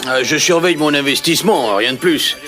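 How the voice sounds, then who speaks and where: An oily, evasive money-grubbing villain acted by a man in a French cartoon dub. His voice is high, with music clearly behind it. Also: fairly quick, close to an everyday voice. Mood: scheming. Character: greedy, shifty businessman villain sizing up the animals. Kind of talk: French-dubbed 1980s cartoon acting.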